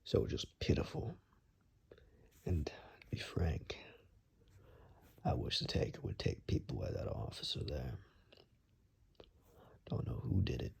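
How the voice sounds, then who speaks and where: A man playing a weary, rugged monster hunter in an ASMR roleplay, grave and halting, in a gravelly, breathy near-whisper. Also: a bass voice, sing-song, darkish tone, heavily acted. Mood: somber, bitter.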